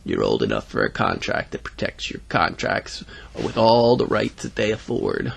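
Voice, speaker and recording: A man voicing a sinister, oily male tombstone dealer who talks in slow, measured sales patter for a story. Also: mid-range voice, wildly swooping pitch, creaky, husky, exaggerated voice. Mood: oily, coaxing.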